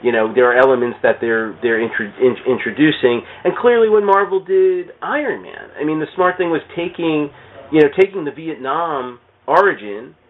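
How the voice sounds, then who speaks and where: A man's voice: a little high, moderate pace, a little hiss or hum. A man chatting casually about comics and films in a rambling, jokey way that often interrupts itself. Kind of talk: casual comics and film chat. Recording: thin phone-like podcast audio.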